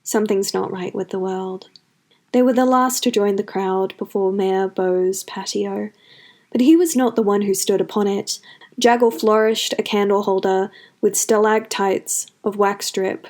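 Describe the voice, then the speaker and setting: Female narrator for fantasy fiction, mid-range voice, expressive scripted storytelling. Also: medium pitch, measured pace.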